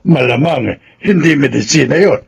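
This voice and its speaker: An actor playing a kindly, regretful elderly grandfather in a Filipino radio drama, with a Filipino accent and halting delivery. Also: mid-range voice. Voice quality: gravelly, husky.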